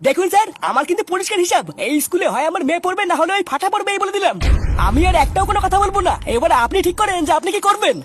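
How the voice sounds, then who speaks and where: A man's voice: very high-pitched, animated, very fast, a bit bright. A man voicing a hot-headed, foul-mouthed father in a comedy cartoon, belligerent and full of bluster. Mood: defiant anger. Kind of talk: exaggerated cartoon comedy dialogue. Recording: music behind the voice.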